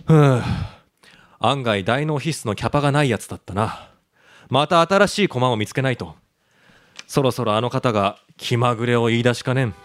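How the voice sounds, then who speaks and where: A man playing a cold, curt criminal handler in a radio drama, calm and condescending. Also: medium pitch, normal speed, close to an everyday voice. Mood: cold menace. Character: cold, menacing male handler who erases people's memories.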